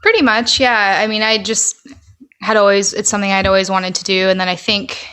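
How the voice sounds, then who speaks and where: A woman's voice: medium pitch, steady tone, fairly quick, sharp tone, clear, resonant tone. A woman with an American accent chatting casually as a musician guest on a podcast. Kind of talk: casual conversational interview answers.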